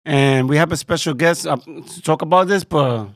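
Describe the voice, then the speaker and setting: A man's voice: fairly high pitch, moderate pace, no room sound, studio quality. Loose-talking podcast co-host, man. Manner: loose, run-on sentences, short backchannel replies. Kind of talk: casual interview chat. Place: podcast studio.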